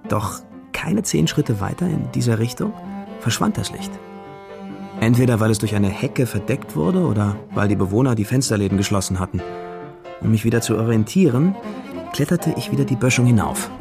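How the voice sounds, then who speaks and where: A man's voice: mid-range voice, expressive, moderate pace, creaky. An actor playing a young male wanderer who recounts his journey reflectively in a first-person radio drama. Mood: observant, uneasy. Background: music.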